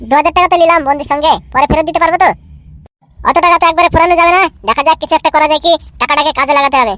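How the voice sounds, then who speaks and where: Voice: high voice, rapid-fire, sharp tone. A performer voicing a broke, sulky, scheming young lad in a comedy cartoon. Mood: pondering. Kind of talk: Bengali comedy cartoon dubbing.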